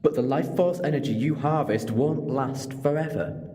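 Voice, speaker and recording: A man's voice: mid-range voice, warm tone, lightly acted. A man playing a clever, chatty alien time traveller in an audio drama, explaining things conversationally with moral earnestness. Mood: earnest, indignant. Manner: expository, conversational, quick to digress. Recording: long reverberant hall.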